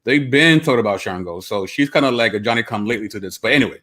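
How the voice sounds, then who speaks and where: A man from Brooklyn co-hosts a relaxed podcast discussion. He speaks at an ordinary pitch. He reads source passages aloud and often stops to correct himself. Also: racing. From United States (Brooklyn). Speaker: podcast co-host.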